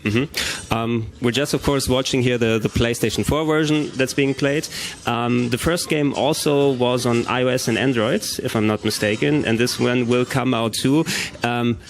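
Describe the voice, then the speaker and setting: A man's voice: mid-range voice, steady tone, normal speed. A man conducting a casual, chatty interview.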